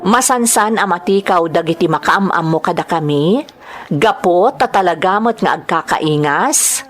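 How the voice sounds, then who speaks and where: A woman with a Filipino accent, a program host and storyteller, reading aloud to her listeners. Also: fairly low pitch. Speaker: host and storyteller of a drama channel. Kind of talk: reading greetings and a listener's letter.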